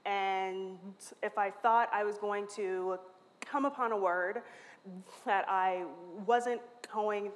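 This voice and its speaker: Woman, 30s, mid pitch, stutters, wry, talking from a stage in a large hall with long echo. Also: steady tone, slow. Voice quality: stutters, with repeated sounds and restarts. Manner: candid and self-mocking. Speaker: conference speaker. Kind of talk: lightning talk.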